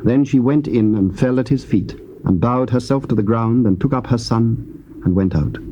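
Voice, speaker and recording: Give me a measured male scripture narrator with a mellow voice and faint tape hiss. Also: mid-range voice. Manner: measured, stately. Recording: dull, lightly hissy old tape.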